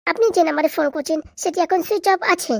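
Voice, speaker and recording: A man imitates an automated phone announcer in a comedy cartoon. The voice is very high and brisk, reciting a polite, formal message with a dry sound. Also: vocal fry, fairly bright tone, dry recording, heavily acted.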